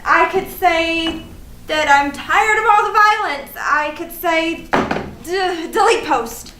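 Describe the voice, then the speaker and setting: A woman's voice: very high voice, animated, slow pace, pushed and bright, full character voice. A woman acting a frantic, melodramatic young woman who rambles and exclaims in a comedic staged reading. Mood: fretful. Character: frantic young woman agonizing over social media feud. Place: live staged reading in a room. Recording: roomy, distant mic, faint noise.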